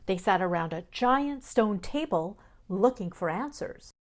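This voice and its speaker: A woman's voice: mid-range voice, expressive, a little slow, no room sound. A woman reading scripted narration for podcasts and radio, as a host and reporter.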